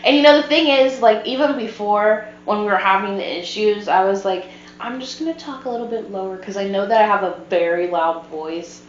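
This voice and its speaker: A woman of average pitch chats casually as a podcast co-host. Her voice is picked up in an echoey room, slightly dull, with faint hum in the background. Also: fairly quick. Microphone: shared podcast microphone. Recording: muffled roomy podcast mic, background hum.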